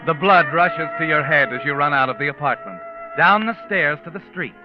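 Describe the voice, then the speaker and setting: An actor playing a sinister, all-knowing narrator of a radio crime mystery, with an insinuating, knowing delivery. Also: male voice, on the high side, lively intonation, brisk, close to an everyday voice. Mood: ominous, intense. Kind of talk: 1940s radio suspense narration in second person. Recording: muffled old radio recording with music.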